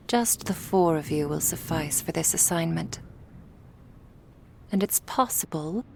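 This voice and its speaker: A woman playing a cool, menacing female vampire crime boss in an ASMR roleplay, speaking in a measured, authoritative way. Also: fairly low pitch, darkish tone, a little hiss or hum. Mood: commanding.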